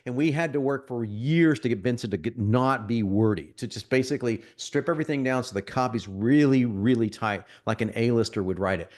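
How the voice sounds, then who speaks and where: Guy with a bit of a cold walking people thru a marketing tool demo, chatty and salesy, keeps restarting sentences, mid-pitched voice. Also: a little mellow. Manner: conversational, salesy, frequent restarts and stumbles. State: getting over a cold, sniffly. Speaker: marketing workshop presenter. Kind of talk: live software demo to an audience.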